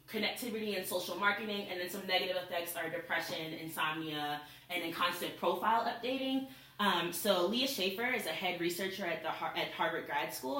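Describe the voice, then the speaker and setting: College girl doing a persuasive speech, bright lowish voice, chatty with classmates, echoey room, cam far off. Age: young adult. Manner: informal, addresses classmates directly.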